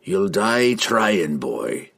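A furious old dwarf, roars and growls in a raised, strained pitch. The words come slow and heavy, full of stubborn resolve, told like a fantasy storybook. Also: male voice, very animated, a bit bright, breathy edge, exaggerated voice. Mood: grim. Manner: roaring, growling, old-fashioned dwarven speech. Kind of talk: audiobook character voice.

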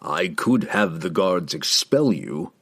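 Fantasy storybook soldier, acted by a man: low, slow and firm, proudly standing his ground. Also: animated, fairly bright tone, no room sound, heavily acted. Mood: defiant.

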